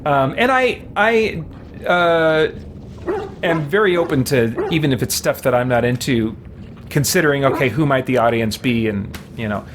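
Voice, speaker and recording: A man's voice: mid-range voice, animated, moderate pace, noisy background. A middle-aged man hosting a game live stream, chatting casually and thinking aloud in an easygoing, rambling way.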